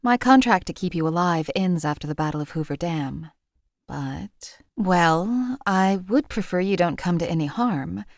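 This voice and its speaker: A woman voicing a cold, calculating female assassin companion in a game, with a calm, detached, clipped delivery. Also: on the low side, measured pace, dry recording, clean studio sound. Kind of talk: game voice lines.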